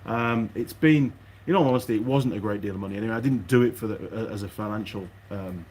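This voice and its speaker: A man with a fairly low voice talks off the cuff in a workshop, with faint noise behind him. He is chatty and rambling, thinking aloud as he addresses his viewers. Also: fast talker, a little mellow. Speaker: mechanic vlogger. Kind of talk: casual unscripted chat to camera viewers.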